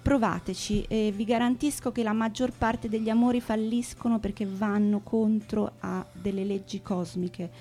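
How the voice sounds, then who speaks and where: A woman's voice: medium pitch, fairly even intonation, normal speed, few pauses, dark tone. Woman reciting a scripted stage piece, mid-range voice, theatrical delivery. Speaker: performer in a spoken theatre piece.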